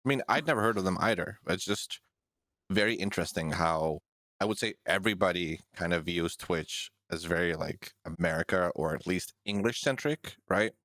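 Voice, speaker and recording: Male podcast co-host with a very low, dry voice. Casual, crude and joking. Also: normal speed, fairly bright tone, no echo. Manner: profane, joking, laughs often. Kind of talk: casual chat.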